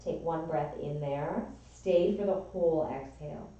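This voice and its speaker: A woman with a raspy, strained voice gently cueing breath and movement as a yoga and pilates instructor. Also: deep voice, a little slow, lo-fi sound. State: strained voice from overuse. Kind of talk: guided class instruction. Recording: echoey room, voice from far off.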